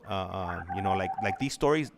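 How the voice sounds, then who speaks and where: A male host with a low-pitched voice talks casually and haltingly, circling back over his phrases. The recording has clear background noise and clicking. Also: animated. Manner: halting, repetitive, hesitant delivery. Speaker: show host. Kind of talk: casual conversational interviewing.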